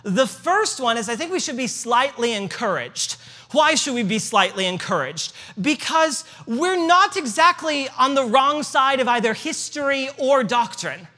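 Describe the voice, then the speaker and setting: A man's voice: very high voice, expressive, measured pace, bright, forceful tone. Midwestern man lecturing in a hall. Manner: conversational, joking asides, many fillers. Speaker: church history speaker. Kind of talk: lecture with slides. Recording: hall reverb.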